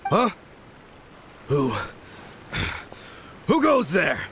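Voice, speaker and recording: Creaky, slow, crazed manly braggart. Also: male voice, high-pitched, huge pitch swings, a bit bright, slight background noise, exaggerated voice. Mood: startled. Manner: theatrical, swinging between bluster and pleading. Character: crazed, boastful young man obsessed with manliness. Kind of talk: video game voice acting. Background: background music.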